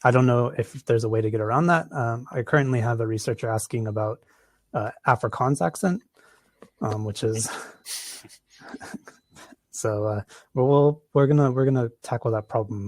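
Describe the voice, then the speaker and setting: A man's voice: mid-range voice, fairly even intonation, normal speed, no echo. A man with an American accent answering audience questions after a conference talk, with lots of ums and restarts. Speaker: conference presenter.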